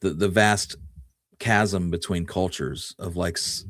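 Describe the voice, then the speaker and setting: A man's voice: deep voice, takes it slowly, fairly bright tone, no room sound, clean studio sound. A man hosting a talk show, telling history in a casual, conversational way and explaining it in detail. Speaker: podcast host.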